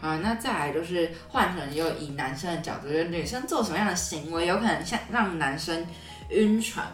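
A woman's voice: on the low side, expressive, fairly quick. A woman with a Taiwanese Mandarin accent, chatting casually as a podcast co-host. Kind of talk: casual chat. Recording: roomy echo, distant mic, music.

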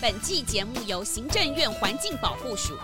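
A woman's voice: on the high side, very animated, normal speed, over loud music. A woman narrating a scripted children's detective story.

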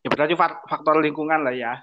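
A man's voice: a little high, steady tone, quick pace, noisy background. A young man, chatting casually as a podcast co-host with short, easygoing, teasing replies. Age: young adult. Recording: dull lo-fi podcast audio.

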